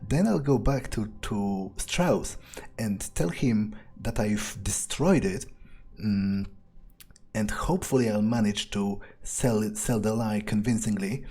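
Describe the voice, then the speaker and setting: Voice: mid-range voice, slow, warm tone, breathy edge. A person thinking aloud in character as a scheming, amoral vampire in a game playthrough, hesitant and casually profane. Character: scheming, amoral vampire musing on own plans. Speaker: player narrating a roleplay game playthrough in character.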